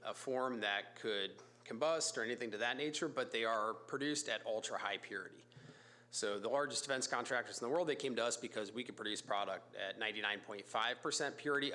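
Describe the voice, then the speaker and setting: Raspy-voiced businessman with a cold. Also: sharp tone. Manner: informal, fillers and restarts, brisk business pitch. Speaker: company executive addressing city council. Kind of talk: public comment at a council meeting. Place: council chamber.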